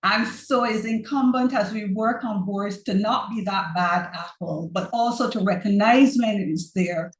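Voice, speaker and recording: A woman from Barbados with a Barbadian accent, giving an earnest, personal online presentation on governance. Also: medium pitch. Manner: earnest, personal, measured speaker. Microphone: video call. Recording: roomy echo on a video call.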